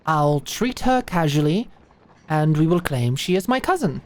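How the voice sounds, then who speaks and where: A woman's voice: sits low, very animated, a little slow. A woman voicing a pompous, prickly aristocratic doctor in a medieval audio drama, with formal, precise speech. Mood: smug, brisk. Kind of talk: medieval fantasy audio roleplay acting.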